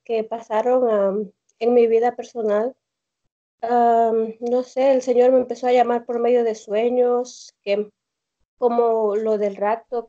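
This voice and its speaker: A young woman with a Mexican accent calling in on a video call from home, telling her story haltingly with many fillers and restarts. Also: on the high side, mellow. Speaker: caller. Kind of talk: hesitant personal testimony on a video call. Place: home room indoors. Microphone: laptop or phone on a video call. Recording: dull lo-fi video call audio.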